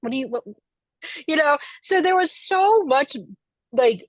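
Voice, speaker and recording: Lively American woman author chatting. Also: sits high, a little slow, dry recording. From United States. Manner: chatty, animated, laughing often. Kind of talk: casual conversation. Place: library event.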